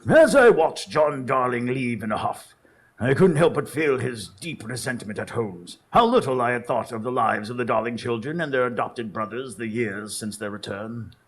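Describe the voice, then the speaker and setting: An actor playing an earnest Victorian doctor who narrates dramatically in a radio drama, with a gravelly, husky voice. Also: male voice, mid-range voice, expressive, normal speed, lightly acted. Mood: solemn narration.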